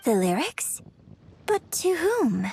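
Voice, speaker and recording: Refined, lilting young opera singer. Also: female voice, high voice, very animated, slow, creaky, darkish tone, soft background music, heavily acted. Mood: modest. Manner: polite, poised, articulate. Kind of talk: animated game voice acting.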